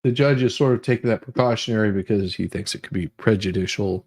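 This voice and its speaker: A man's voice: fairly low pitch, quick pace, a little mellow. A man hosting a livestream, reading documents aloud and adding informal, rambling commentary in which he often repeats words. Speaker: livestream host. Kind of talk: dramatic reading of legal documents with casual commentary.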